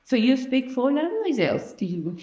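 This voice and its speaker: A woman's voice: high-pitched, sing-song, a little slow, warm tone. A woman hosting a casual podcast interview, chatty and eager, often chiming in with short replies. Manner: chatty, eager, frequent backchannels. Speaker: podcast host. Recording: roomy echoey room.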